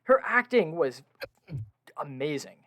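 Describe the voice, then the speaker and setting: A man's voice: on the high side, very animated, takes it slowly, fairly bright tone. A young man co-hosting a casual podcast, talking about a film with eager, gushing enthusiasm and frequent laughs. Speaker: podcast co-host. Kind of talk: casual film review chat. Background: washing machine at home.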